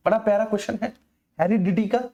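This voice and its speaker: A man's voice: high voice, steady tone, fast, mellow. A man teaching an exam coaching class informally, explaining repetitively and addressing his students directly.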